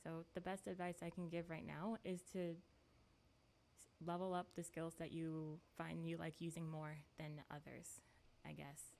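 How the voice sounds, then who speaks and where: A woman's voice: deep voice, little pitch movement, normal speed, gravelly, darkish tone. A woman chatting casually on a livestream while gaming, with a playful, giggly, self-deprecating manner. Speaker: video game livestreamer.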